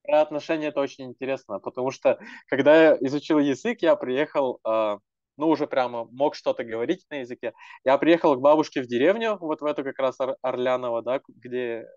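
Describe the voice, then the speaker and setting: A man's voice: medium pitch, brisk, a little mellow, no echo. Young man rambling earnestly, dull dry sound. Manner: earnest, rambling with many fillers and restarts. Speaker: language activist. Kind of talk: casual panel talk.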